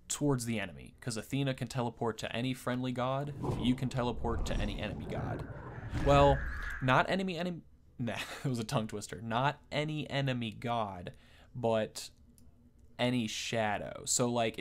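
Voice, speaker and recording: A man giving casual, off-the-cuff game commentary as a video host, rambling and thinking aloud. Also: lowish voice.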